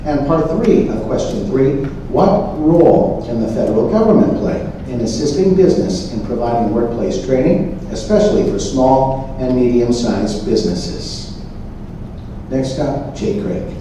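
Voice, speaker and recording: A man's voice: mid-range voice, lively intonation, unhurried, warm tone. A man moderating a forum, formally reading questions aloud. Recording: echoey room, distant mic, faint noise.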